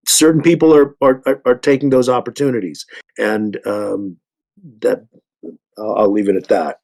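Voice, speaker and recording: A man talking casually in a panel discussion, in a halting way with frequent pauses and repeated words. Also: medium pitch.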